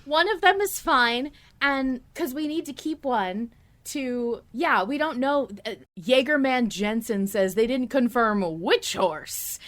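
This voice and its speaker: A woman co-hosting a podcast, chatting casually and enthusiastically about a story as a fan, with an expressive, giggly manner. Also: high voice, slow. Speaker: podcast co-host. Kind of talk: casual fan discussion of an audio drama.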